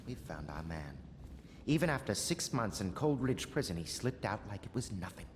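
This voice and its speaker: A man's voice: fairly low pitch, big pitch swings, normal speed, slight background noise. An actor playing a refined, dry-witted nobleman among conspirators in a video game. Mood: hushed intrigue. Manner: genteel, dry, polite. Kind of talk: scripted video game dialogue. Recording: long hall reverb under music.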